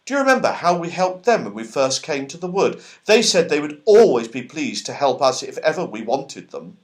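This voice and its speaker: A man voicing a bright, eager little girl in a children's storybook. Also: a little high, big pitch swings, normal speed, voice pushed up. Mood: excited.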